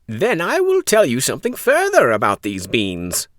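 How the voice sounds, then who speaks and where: A man's voice: medium pitch, huge pitch swings, normal speed, a bit bright, no room sound, studio quality, pitched above the performer's own voice. A man voicing a little old bearded storybook stranger, coaxing and persuasive.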